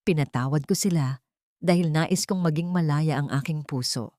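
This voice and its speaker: A dignified Filipina lawyer, acted out by a woman narrator, serene and composed with quiet firmness. Her voice is low and dryly recorded, in the manner of a dramatic audio storybook. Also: normal speed, no echo, studio quality, natural voice. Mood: serene, resolute.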